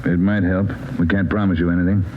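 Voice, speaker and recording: A man playing a firm, measured senior police officer questioning a suspect in a 1940s radio drama. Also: low-pitched, little pitch movement, natural voice. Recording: muffled old radio recording, loud noise.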